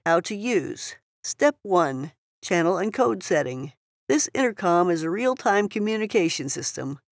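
A woman's voice: lowish voice, no echo. Woman narrating instructions, dry close sound. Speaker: product tutorial narrator.

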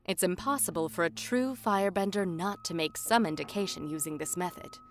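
A woman playing a stern, composed firebending instructor in an animated fan drama, speaking in a measured, authoritative way. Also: on the low side, faint music behind, natural voice. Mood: patient, stern. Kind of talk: fan visual novel voice acting.

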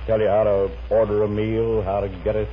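A man's voice: fairly low pitch, monotone, a little fast, close to an everyday voice. A man playing an affable, slightly bumbling husband in a 1950s radio sitcom, genial and a little hesitant. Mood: chatty. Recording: muffled, noisy 1950s radio recording.

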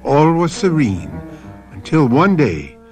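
A man hosting and narrating a radio drama as a measured, theatrical storyteller. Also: fairly high pitch, huge pitch swings, music in the background, exaggerated voice. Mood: solemn. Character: theatrical storyteller host of a mystery anthology. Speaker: host and narrator.

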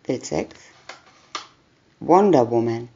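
A woman's voice: a bass voice, expressive, takes it slowly, warm tone, dull audio. A woman doing a scripted reading of a children's picture booklet, announcing short captions one by one. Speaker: reader.

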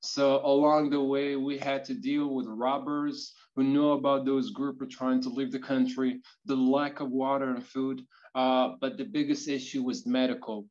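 Casting: middle-aged male guest speaker, flat delivery of a personal story, slightly dull call audio. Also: medium pitch, normal speed, hardly any pauses. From born in Ethiopia, moved to Israel. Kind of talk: personal life story narration. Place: indoors, on video call.

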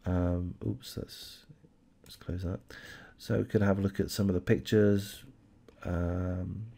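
A man's voice: fairly low pitch, darkish tone. A man hosting a true crime channel, casually reading a news article aloud with lots of hesitant fillers and restarts, and giving blunt opinions.

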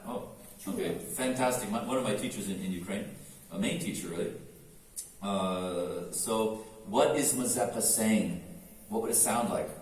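A man with an American accent, a torban player, talking earnestly to a live audience with many hesitant pauses. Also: fairly low pitch, a little mellow. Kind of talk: live talk to an audience before a performance. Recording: echoey room, voice from far off.

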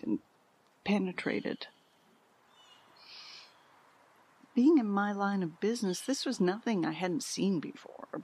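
An actress playing a candid, troubled middle-aged mother in an audio drama, speaking with a husky voice. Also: lowish voice. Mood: hesitant, troubled. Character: candid, troubled mother confiding about her peculiar son. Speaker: interviewee.

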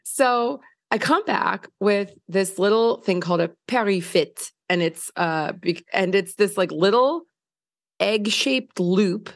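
A woman in her thirties, hoarse from a recent illness, telling a story on a podcast in a casual, filler-heavy way, laughing often. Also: medium pitch, lively intonation, slow pace. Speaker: podcast co-host. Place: home living room by windows. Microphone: large studio mic on video call.

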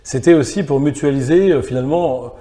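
A man's voice: medium pitch, brisk, warm tone. A middle-aged man, a philosopher guest, thinking aloud in long reflective sentences in a small gallery salon. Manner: thoughtful, builds ideas in long clauses. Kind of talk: reflective conversational interview.

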